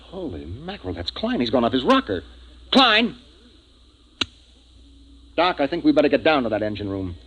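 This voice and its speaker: An actor playing a gruff, decisive spaceship captain in a 1950s radio drama, narrating and giving orders. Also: male voice, medium pitch, sing-song, normal speed, pauses often, background noise, lightly acted. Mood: alarmed, urgent. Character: gruff, decisive male spaceship captain, troubled but in command. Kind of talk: 1950s radio acting with first-person narration. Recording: dull 1950s radio recording, music behind.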